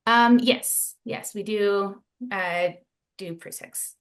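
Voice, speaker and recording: A woman presents a webinar on a video call, answering questions in a friendly, measured way with frequent ums. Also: medium pitch, a bit bright.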